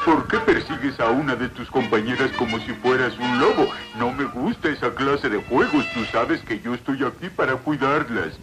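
A man's voice: mid-range voice, moderate pace, few pauses, lightly acted. An actor playing a naively pompous male sheepdog guard with a Latin American accent in a cartoon dub. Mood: stern. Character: slow, gullible, self-important male sheepdog guard. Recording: old cartoon soundtrack with music.

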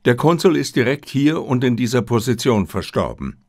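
A man's voice: medium pitch, very animated, normal speed, no echo, clean studio sound. A man voicing a composed Japanese intelligence officer in an audiobook, formal, grave and matter-of-fact. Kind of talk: audiobook character reading.

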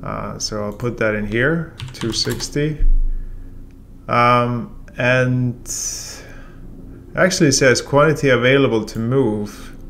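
A man in his forties teaching a software tutorial in a relaxed, step-by-step way, with frequent ums. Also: mid-range voice, takes it slowly, gravelly, a little hiss or hum. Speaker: software trainer. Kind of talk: casual screen-share tutorial. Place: room with a brick wall and whiteboard, then voice-over of screen recording.

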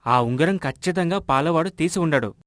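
A man voicing an earnest, generous village husband in an animated moral story, speaking in an explanatory way. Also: a little high, moderate pace, no room sound, clean studio sound. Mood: concerned.

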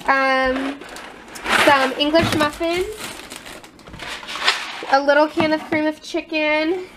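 A young American woman with a high-pitched voice narrates a casual show-and-tell vlog. She takes her time, naming things in short, halting phrases. The handheld camera recording in a home kitchen carries noticeable noise. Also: fairly even intonation. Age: 20s. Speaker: family vlogger. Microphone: handheld camera at arm's length.

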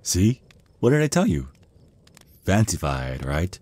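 A man playing a shy, flustered young male vampire on a first date in an ASMR roleplay, speaking with nervous stammers and awkward, halting rambles. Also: low-pitched, sing-song, darkish tone, dry recording, heavily acted. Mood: nervous, eager.

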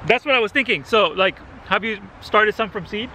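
A man's voice: sits high, lively intonation, normal speed, sharp tone, a little hiss or hum, poor audio. A man in his thirties from El Salvador, hosting a casual gardening vlog in a yard and enthusing over the fruit he tastes. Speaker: gardening channel host. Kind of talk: casual outdoor vlog chat. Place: residential yard outdoors. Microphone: handheld camera at arm's length.

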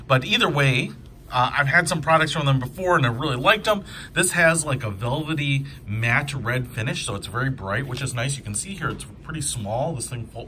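A man's voice: mid-range voice, sharp tone, a little hiss or hum. A man giving a casual hands-on product review in an informal, enthusiastic, conversational way.

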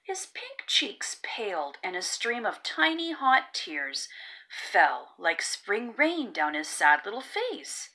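Slow, very animated woman storyteller. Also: medium pitch. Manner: expressive, careful storytelling. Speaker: read-aloud storyteller. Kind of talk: children's picture book narration.

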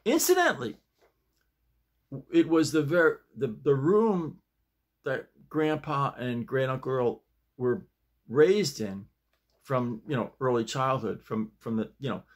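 An older man in his sixties or beyond chatting casually to camera in a folksy, halting way, leaning on repeated emphatic phrases. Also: medium pitch, lively intonation. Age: 60s or older. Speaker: vlog host and amateur playwright. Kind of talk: casual rambling chat to camera. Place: small home study, indoors.

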